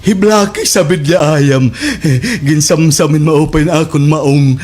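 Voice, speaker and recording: A man playing a frantic, bumbling village watchman in a slapstick radio drama, exclaiming in agitated, halting bursts. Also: on the high side, darkish tone, natural voice. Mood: weary, earnest.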